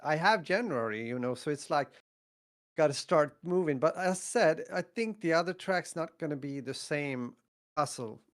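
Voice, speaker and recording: A man's voice: a little high, expressive, normal speed. A man co-hosting a podcast in casual chat, talking hesitantly and repeating words as he goes. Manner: hesitant, repeats words, stacks "you know" and "I mean". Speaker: podcast co-host.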